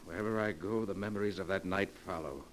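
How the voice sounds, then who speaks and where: A man's voice: low-pitched, quick pace, a little hiss or hum, natural voice, low-quality recording. An actor playing a guilt-ridden, brooding man haunted by a killing in an old-time radio drama. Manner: tense, anguished radio acting.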